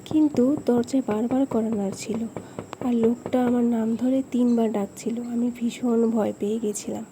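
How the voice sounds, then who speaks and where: A woman's voice: on the high side, fairly even intonation, normal speed, noisy background, lightly acted. A woman playing a village grandmother who earnestly tells her grandchildren a spooky memory in a cartoon story. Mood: fearful, reminiscing.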